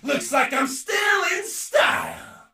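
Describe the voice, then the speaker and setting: High, shouting, cocky showman villain. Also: expressive, takes it slowly, gravelly, roomy sound, far from the mic, some chatter behind, exaggerated voice. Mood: boastful, excited. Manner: brash, taunting, theatrical shouting. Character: cocky, hyperactive taunting showman villain in a suit and helmet. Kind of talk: exaggerated video game character voicelines.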